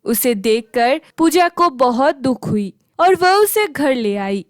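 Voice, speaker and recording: A woman's voice: sits high, lively intonation, takes it slowly, no room sound, studio quality. A woman narrating a scripted children's story.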